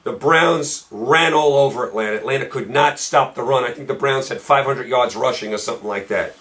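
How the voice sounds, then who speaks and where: A man hosting a solo sports picks show, hoarse and losing his voice, talking emphatically and repeating points for stress. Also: mid-range voice, a little fast, fairly bright tone.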